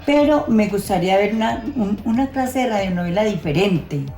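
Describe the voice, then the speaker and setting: An older woman with a Colombian accent reminiscing in a plain-spoken, halting way, repeating words as she goes. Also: mid-range voice, lively intonation, dark tone, roomy sound, soft background music. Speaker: audience member giving testimony. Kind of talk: reminiscing testimony.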